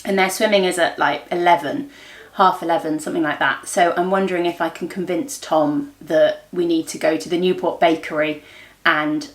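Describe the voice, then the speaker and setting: A woman's voice: on the low side, fairly even intonation, moderate pace. Echoey, chatty woman vlogging at home. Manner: informal, rambling, thinking aloud. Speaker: vlogger and host of a daily family and craft vlog. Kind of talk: casual chatty vlog to camera. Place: indoors at home. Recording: roomy, echoey indoor room.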